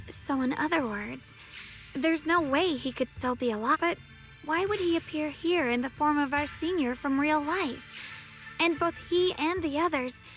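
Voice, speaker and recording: A young female adventurer wonders aloud in a very high voice. She is thoughtful and inquisitive, a game heroine puzzling out a mystery. Faint music sits behind her. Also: fairly bright tone. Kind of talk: video game voice acting.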